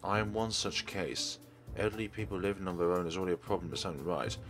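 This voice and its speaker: A man's voice: low-pitched, fast, a bit bright, faint music behind. A man voicing a regretful, self-deprecating old man with formal phrasing, reading visual novel dialogue aloud. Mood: grave, explanatory.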